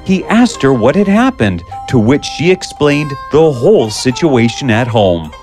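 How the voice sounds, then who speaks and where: Slow, expressive male storyteller. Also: mid-range voice, faint music behind. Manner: expressive, measured. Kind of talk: scripted fairy-tale narration.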